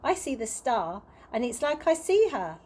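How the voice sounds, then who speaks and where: A woman plays a kindly old tramp in a storybook, wistful and earnest. The voice is fairly high and gently encouraging, with faint noise behind it. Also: sing-song, moderate pace. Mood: wistful, tender.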